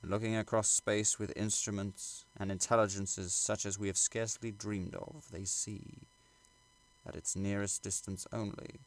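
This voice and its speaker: A man reading literary prose aloud as an audiobook narrator, in a measured, formal and dramatic way. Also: on the low side, little pitch movement, rough recording. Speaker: audiobook reader.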